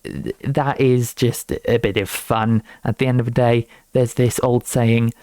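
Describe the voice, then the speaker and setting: A man's voice: mid-range voice, little pitch movement, unhurried, a little mellow, dry recording. A young man giving a casual, unscripted walkthrough of his own project in an informal, rambling, lightly amused way. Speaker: student game developer presenting own project.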